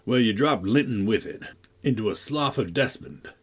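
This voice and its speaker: A man reading a novel aloud, voicing a deep-voiced, menacing villain who sneers, coaxes and threatens. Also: lively intonation, normal speed, slightly rough. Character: menacing, brooding older man, a manipulative villain.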